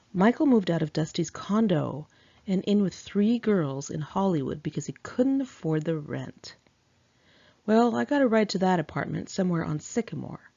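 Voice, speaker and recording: A woman's voice: deep voice, creaky, warm tone, husky, no room sound, dull audio. A woman reads her own memoir aloud in an informal, candid manner, as an author narrating.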